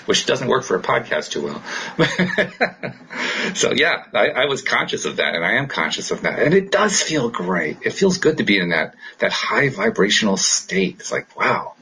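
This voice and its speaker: A man hosting a podcast in casual, unscripted chat, with an enthusiastic, excitable manner that tends to speed up. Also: on the low side, moderate pace, a little hiss or hum, rough recording. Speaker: podcast host.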